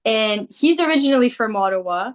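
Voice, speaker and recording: A woman's voice: fairly high pitch, fairly quick. A young woman, Greek by birth with Greek as her native language, chatting animatedly in an interview and laughing often. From Greece. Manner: animated, laughs often, quotes people in put-on voices. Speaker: musician guest. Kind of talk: casual interview chat.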